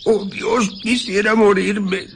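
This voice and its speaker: An actor playing a timid, miserable reluctant accomplice with a stammering, pleading and hesitant manner in a radio drama. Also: male voice, high-pitched, level tone, takes it slowly, creaky, slight background noise, full character voice. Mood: miserable, whining.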